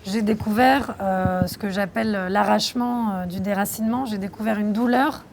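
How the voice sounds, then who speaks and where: A young woman from France answering interview questions about her documentary, reflective and thoughtful, pausing often. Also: medium pitch, steady tone, vocal fry, darkish tone, a little hiss or hum. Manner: reflective, thoughtful, with frequent hesitations. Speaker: documentary filmmaker being interviewed.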